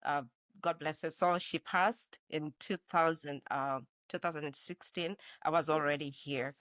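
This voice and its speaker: A woman's voice: low voice, fairly even intonation, measured pace, a bit bright, no echo. A woman in her forties from Zimbabwe, a nurse practitioner speaking reflectively as an interview guest. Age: 40s. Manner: reflective, with frequent restarts. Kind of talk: conversational interview.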